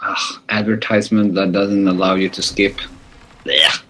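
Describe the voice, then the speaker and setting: Low, slow man streaming. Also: steady tone, sharp tone, a little hiss or hum, low-quality recording. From Sweden. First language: Swedish. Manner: hesitant, stammering restarts. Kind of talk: casual gaming chat.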